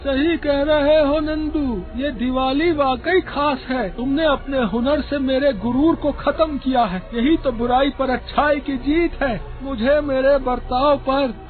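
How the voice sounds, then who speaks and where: A man's voice: very high voice, measured pace, few pauses, phone-quality sound, soft background music. A man voicing a rich, haughty village merchant in a cartoon moral story, with a blustering, condescending manner. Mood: remorseful.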